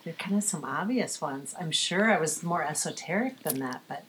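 A woman's voice: deep voice, expressive, fairly quick, a bit bright. A middle-aged woman chatting casually as a guest on a podcast. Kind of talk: casual chat. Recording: clearly noisy background, clicks.

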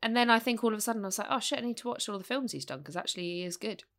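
Young woman, bright voice, rambling, hesitant casual chat. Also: on the high side, racing. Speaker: podcast co-host.

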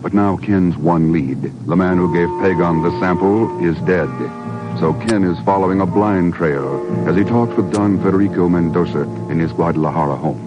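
A man's voice: low-pitched, normal speed, over loud music. A man with an American accent, a polished, emphatic 1950s radio announcer reading scripted copy. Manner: emphatic, polished sales delivery. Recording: muffled 1950s radio broadcast.

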